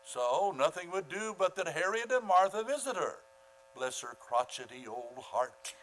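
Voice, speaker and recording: A fussy school principal in an old-time radio comedy, played by a man. He is pompous and falsely gracious, talking in formal, halting phrases in a somewhat high voice. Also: very animated, a bit bright, slight background noise, heavily acted, poor audio. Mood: self-pitying, pompous. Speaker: actor in a community radio play.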